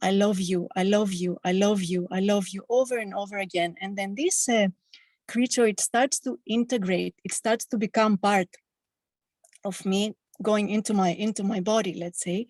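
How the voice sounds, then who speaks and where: Woman slowly sharing on a video call. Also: mid-range voice, steady tone, darkish tone, no echo. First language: Greek. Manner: slow, halting, reflective storytelling. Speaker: satsang participant.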